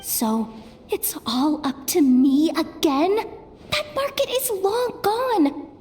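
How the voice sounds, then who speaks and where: A sardonic young investigator who grumbles at her own bad luck in a high, unhurried voice. A faint hiss hangs behind her lines in this game dub. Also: big pitch swings, darkish tone, heavily acted. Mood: grumbling. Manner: wry, grumbling, slow-spoken. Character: sardonic young woman investigator, self-doubting. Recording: faint background noise.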